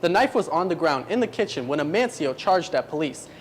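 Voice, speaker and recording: A man's voice: on the high side, big pitch swings, a little fast, a little hiss or hum. A man working as a television news reporter, delivering scripted reports and stand-ups on location.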